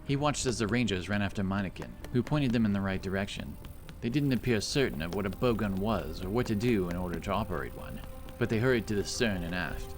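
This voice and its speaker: A man delivers scripted fantasy narration in a lowish voice. He tells the story in a dramatic storyteller's style. Music plays clearly behind the words. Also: expressive, brisk.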